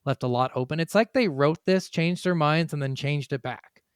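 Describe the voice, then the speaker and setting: A man's voice: on the high side, moderate pace, smooth, dry recording, studio quality. A man hosting a podcast, giving blunt, profane opinions in a casual gaming review chat. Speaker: podcast host.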